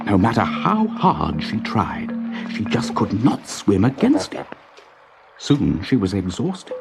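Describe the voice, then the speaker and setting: A man with a fairly low voice reads a children's story aloud. His delivery is dramatic and measured, with very animated rises and falls in pitch. Music plays clearly behind him. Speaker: storybook narrator. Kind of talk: expressive children's story narration.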